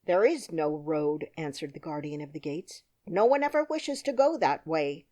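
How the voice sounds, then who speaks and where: A woman's voice: deep voice, lively intonation, moderate pace, mellow. A woman playing a stern gatekeeper. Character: stern male gatekeeper officer.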